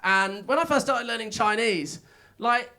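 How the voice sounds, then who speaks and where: A young Englishman performing stand-up, high in pitch with a sharp, bright tone. His voice has a gravelly, fried edge, and he talks in a chatty, self-mocking way, full of filler words. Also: a little slow. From England. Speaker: stand-up comedian. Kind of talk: stand-up comedy set. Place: comedy club stage.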